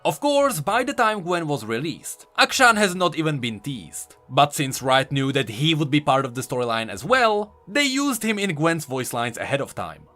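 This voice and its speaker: A man's voice: on the high side, very animated, normal speed, few pauses, pushed and bright. A man giving emphatic, explanatory scripted commentary on video game lore as an online host. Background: background music.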